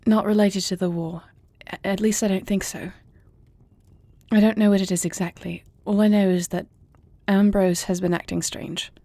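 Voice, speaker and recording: Woman playing a soft, mellow, creaky-voiced earnest young princess. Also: medium pitch, steady tone, moderate pace, dry recording, natural voice. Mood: worried, uneasy. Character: earnest young princess and warrior heir, anxious yet tender. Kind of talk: intimate audio roleplay acting.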